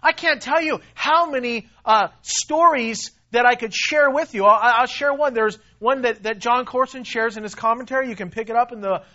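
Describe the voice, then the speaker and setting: High-voiced emphatic preacher, muffled recording. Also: male voice, moderate pace, hardly any pauses, pushed and bright. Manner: emphatic, repeats himself, rises and drops in volume. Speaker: pastor. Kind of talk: sermon.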